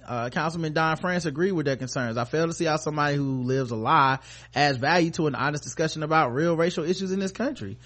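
A man's voice: fairly high pitch, fairly bright tone. An American man hosting a podcast, giving casual, comedic news commentary in an irreverent, sarcastic, sweary way. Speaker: podcast host.

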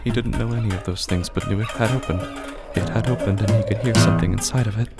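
Male horror podcast narrator, low register, intense and deliberate delivery, musical bed with plucked strings behind. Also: steady tone, gravelly, over loud music. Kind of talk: scripted dramatic horror narration.

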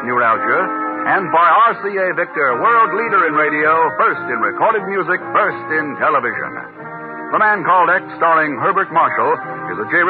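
A man's voice: on the high side, narrow, tinny audio. A man announcing and reading sponsor copy in a 1950s radio style. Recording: band-limited 1950s radio broadcast, music behind.

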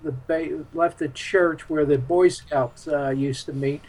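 An American man in his seventies with a fairly high-pitched voice. He talks as an interview guest, reminiscing in a loose, halting ramble. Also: fairly even intonation, darkish tone. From Philadelphia, United States. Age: 70s. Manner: rambling, halting, chuckles while talking. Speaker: interview guest, UFO witness.

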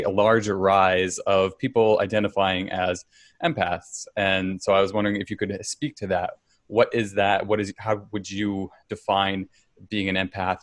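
Deep-voiced man hosting a podcast, dry sound. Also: dry recording. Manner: casual, warm, many "like"s and restarts. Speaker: podcast host and teacher. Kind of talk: podcast interview.

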